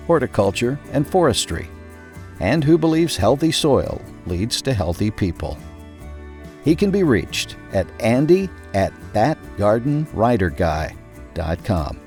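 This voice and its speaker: A man reading a scripted magazine article aloud in a measured, clearly emphasized narrator's delivery. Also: on the low side, a little mellow.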